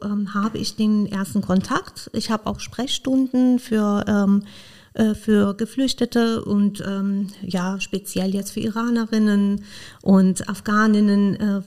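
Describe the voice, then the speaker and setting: A woman who grew up in Iran, speaking German as a podcast guest. She answers in a hesitant way, with many pauses and fillers. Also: medium pitch, flat delivery, darkish tone. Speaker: podcast guest, social worker. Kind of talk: casual interview talk.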